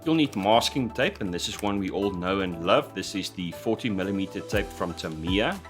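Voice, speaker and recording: A man hosting a scale model tutorial in voice-over, talking hesitantly with many "uh" fillers and restarts, his voice slightly croaky after an illness. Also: deep voice, lively intonation, normal speed. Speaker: scale model building host. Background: background music.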